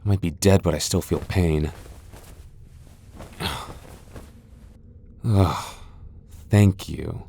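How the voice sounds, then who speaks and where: A proud vampire lord acted out by a man speaks in a deep, dark-toned voice. He is sardonic and defiant, with a dry, sighing delivery suited to a fantasy ASMR roleplay. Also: extremely slow, creaky, pauses often, a little hiss or hum, full character voice. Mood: flustered, teasing. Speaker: voice actor in a roleplay audio.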